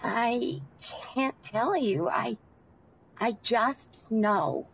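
An actress playing a polite, serene and eccentric taxi passenger, gentle and hesitant, in old-time radio style. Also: female voice, on the high side, expressive, drawn-out words, vocal fry, slightly rough, full character voice, low-quality recording. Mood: earnest.

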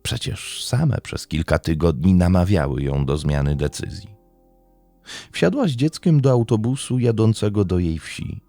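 A man narrating a scripted true-crime documentary in a measured, serious way. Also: low-pitched, big pitch swings, warm tone, dry recording, faint music behind.

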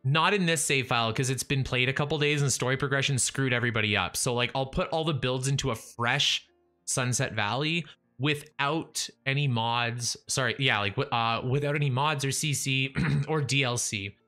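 Young guy rambling while he streams, kinda losing his voice, bright tone, faint music behind. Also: mid-range voice, moderate pace. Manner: chatty, self-talking, restarts and trails off. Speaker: livestreaming gamer. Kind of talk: casual live-stream chat while building. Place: small room at home. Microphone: studio mic in front of face.

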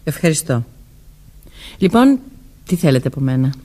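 A woman's voice: very deep voice, very animated, dark tone, full character voice. An actress playing a guarded, evasive young woman who seems older than her years, answering curtly in a Greek radio play. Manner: curt, defensive answers. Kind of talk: Greek radio theatre acting.

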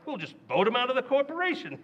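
A man's voice: extremely high pitch, wildly swooping pitch, fast, a bit bright, breathy edge, lo-fi sound, full character voice. An actor playing a blustering, scheming middle-aged family man in an old-time radio comedy, exclaiming and stammering. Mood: smug. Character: blustering, scheming middle-aged husband and father, easily outraged.

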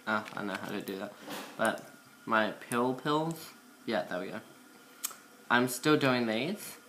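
Casting: young female vlogger, masculine-register voice with bright edge, slight cold, casual rambling delivery, faintly noisy home recording. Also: expressive, unhurried. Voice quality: low, masculine-sounding voice. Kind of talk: casual chat to camera, transition update. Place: indoor room by a window. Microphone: built-in device mic at arm's length.

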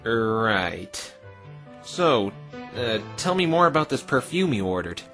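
A man's voice: mid-range voice, very animated, takes it slowly, over music. A man playing a dry, sarcastic, easily exasperated young defense lawyer in a fan-dubbed visual novel. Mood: puzzled. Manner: dry, sardonic. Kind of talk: fan-dub visual novel character acting.

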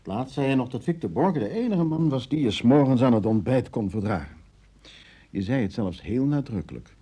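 Very muted, evasive husband. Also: male voice, medium pitch, expressive, brisk, warm tone. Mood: dry. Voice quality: husky, muted. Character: evasive, withdrawn husband in a stale marriage. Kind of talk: Dutch radio drama acting.

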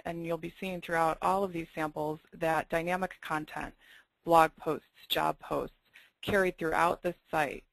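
A presenter walking through website design samples on slides in a webinar, explaining clearly with the occasional filler. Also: medium pitch, fairly bright tone, dry recording, rough recording.

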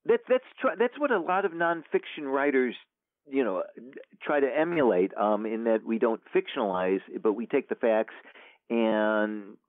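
A man's voice: mid-range voice, animated, normal speed, no room sound. A male author talking casually as an interview guest, rambling with many fillers and restarts. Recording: tinny phone line, dry.

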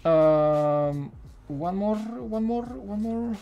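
A man's voice: high voice, animated, drawn-out words, vocal fry, mellow, lo-fi sound, faint music behind. A man in his thirties from Poland with a Polish accent, chatting casually on a live stream while building, with lots of fillers and restarts. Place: home studio room.

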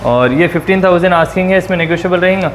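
A man's voice: sits high, racing, slight background noise. A man hosting an on-site interview in a goat pen, repeating prices and details back for viewers. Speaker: host of a goat-sale channel.

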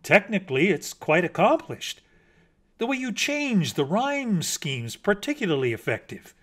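A man's voice: fairly high pitch, very animated, unhurried. Guy doing a cordial know-it-all mockingbird from a storybook, effusive and lecturing, voice swings all over. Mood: cordial, approving. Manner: effusive, lecturing, condescendingly kind. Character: male mockingbird, cordial, pedantic, self-important poetry critic.

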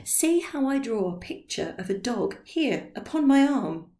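Woman reading as a giddy tipsy old man, raised high voice, loud, pushy, storybook telling. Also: big pitch swings, unhurried. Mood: excited. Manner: eager, pleading, high and loud. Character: excitable drunken old man, a painter's friend.

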